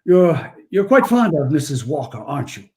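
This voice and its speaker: A man acts out a kindly old Santa from a forties radio play. His voice sits high and swings freely, with a soft, mellow tone. He speaks in a gentle, courtly way. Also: sing-song, moderate pace. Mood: gently probing. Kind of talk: 1940s radio acting.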